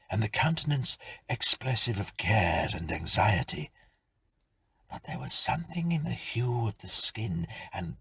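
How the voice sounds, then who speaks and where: A man narrating a Victorian ghost story as a dramatic storyteller, measured and suspenseful, sometimes dropping to a hush. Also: low-pitched, creaky, slightly rough.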